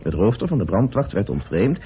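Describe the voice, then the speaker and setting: An actor playing a troubled, stubborn amateur detective with an earnest, brooding manner in an old Dutch radio drama. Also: male voice, fairly low pitch, very animated, a little fast. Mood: grave, earnest. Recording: noisy muffled tinny old radio recording.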